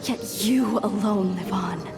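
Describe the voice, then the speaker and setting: A woman's voice: mid-range voice, slow pace, music in the background, close to an everyday voice. A woman voicing a bitter, reproachful ghostly female voice of the dead, in a game cutscene. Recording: long reverb, haunting echo.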